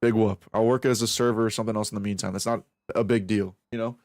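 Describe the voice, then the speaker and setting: A man's voice: lowish voice, fairly even intonation, fast talker, darkish tone, dry recording. Profane rambling young man, dry mic. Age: 20s. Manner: rambling, profane, self-reflective, restarts a lot. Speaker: streamer. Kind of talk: casual stream chat while aim training.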